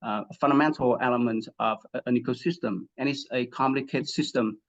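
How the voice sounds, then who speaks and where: A man from Vietnam giving an academic webinar talk, halting with many fillers and restarts and frequent throat clearing. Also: mid-range voice, lo-fi sound. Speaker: guest speaker, assistant professor.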